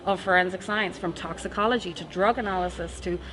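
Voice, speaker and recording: Irish woman professor, chatty and warm. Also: lowish voice, a little fast, a little hiss or hum. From Ireland. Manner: warm, chatty, laughs often. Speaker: forensic science professor, guest. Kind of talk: casual interview chat.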